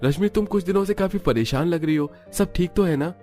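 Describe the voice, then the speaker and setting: A man voicing an earnest, protective young husband in an animated story. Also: high-pitched, fairly quick, soft background music, pitched above the performer's own voice, natural voice. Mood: concerned, placating. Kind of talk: animated story character dialogue.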